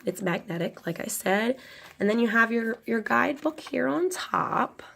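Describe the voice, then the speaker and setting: A woman's voice: on the high side, animated, normal speed, gravelly, fairly bright tone. A young woman with a cold, her voice nasal and a little crackly, chatting casually and rambling as she unboxes a tarot deck for her channel. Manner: rambling, many ums and restarts.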